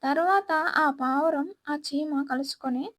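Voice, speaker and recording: A woman's voice: sits high, slow pace. A woman storyteller reading a scripted children's moral tale as narrator.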